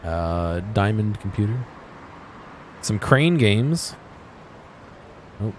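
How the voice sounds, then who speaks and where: Low-voiced man with noise behind. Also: sing-song, very slow. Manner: low-key, musing to himself. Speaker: gaming video host. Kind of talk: casual off-the-cuff game exploration commentary.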